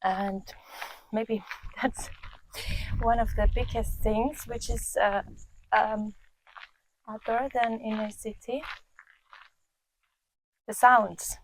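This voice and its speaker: Woman, relaxed countryside vlogger. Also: fairly high pitch, slight background noise, rough recording. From Finland. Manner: relaxed, thoughtful, pauses to search for words. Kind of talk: casual walk-and-talk vlog. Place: outdoors in the countryside.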